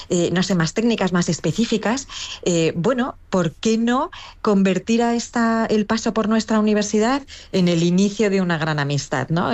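Reflective, low-voiced woman guest. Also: darkish tone. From Castilla-La Mancha, Spain. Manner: reflective, with hesitations and tag questions. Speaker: guest, foundation director. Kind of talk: interview answers.

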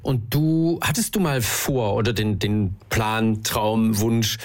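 A woman's voice: very deep voice, slow pace. A woman hosting a conversational radio interview, asking questions and responding to her guest. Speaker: talk show host, interviewer.

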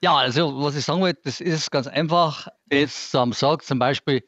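A man's voice: a little high, normal speed, fairly bright tone, dry recording, lo-fi sound. A man calling a radio show, explaining things earnestly. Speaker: caller.

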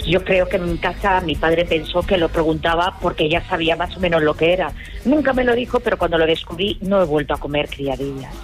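A woman's voice: lowish voice, a little fast, faint music behind. Woman hesitantly telling a radio anecdote. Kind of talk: casual anecdotal chat on radio.

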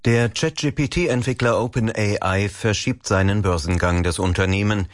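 A man reading radio news in a measured, formal way. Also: fairly low pitch, dry recording, clean studio sound. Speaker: newsreader.

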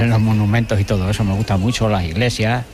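A plain-spoken man with a Castilian accent and a fairly low voice. He gives short, simple answers as a visitor interviewed live in a town square. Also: steady tone, brisk, music in the background. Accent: Castilian Spanish. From Castilla-La Mancha, Spain. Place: outdoors in a city square.

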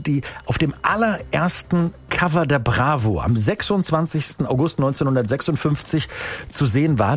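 A man's voice: medium pitch, slightly rough. A man hosting a radio phone-in quiz, chatting in an upbeat, exclamatory way. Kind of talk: lively radio phone-in chat. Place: radio studio.

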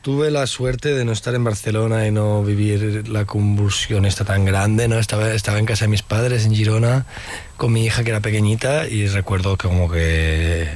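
Low-voiced man telling a story. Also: moderate pace, a little mellow. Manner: storytelling, acts out quotes, frequent tag questions. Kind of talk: conversational interview.

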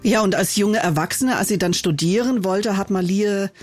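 Female radio reporter, clear and even. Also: mid-range voice, moderate pace. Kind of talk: live report to the studio.

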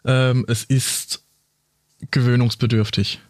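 A man's voice: medium pitch, flat delivery, takes it slowly, darkish tone, no echo. A man who serves as a police officer, recounting a case in plain storytelling. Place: studio.

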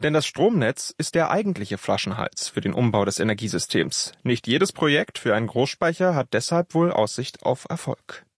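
A male radio reporter reads a prepared business segment in a measured, steady voice. His pitch sits in an ordinary middle range. The studio sound is close and dry. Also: slightly rough, dry recording. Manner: measured, even delivery. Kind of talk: scripted news report. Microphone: studio microphone.

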